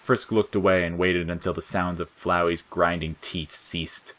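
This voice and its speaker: A man's voice: deep voice, fairly even intonation, moderate pace, a bit bright. A man reading scripted fiction as a dramatic audiobook narrator.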